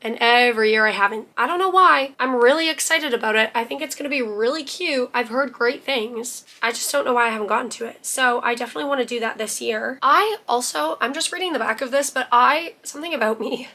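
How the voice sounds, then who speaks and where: A young woman with a bright, somewhat high voice, worn hoarse and rusty from laryngitis. She chats casually and a little self-deprecatingly to camera, wandering into asides as she goes. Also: fairly quick. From Canada. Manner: chatty and self-deprecating, with laughs and trailing asides. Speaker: book vlogger.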